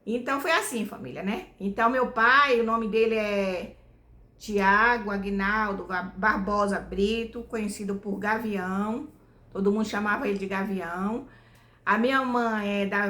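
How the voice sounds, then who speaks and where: A woman's voice: fairly high pitch, fairly bright tone. A middle-aged woman from Bahia, telling her life story to her viewers in a homespun, rambling way, tagging nearly every phrase with "né?". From Bahia, Brazil. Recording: echoey room.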